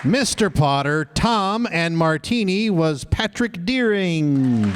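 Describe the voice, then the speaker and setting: A man's voice: on the high side, lively intonation, slow pace. A man announcing cast credits live on stage at a show. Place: live venue with an audience. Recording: noisy live audience recording.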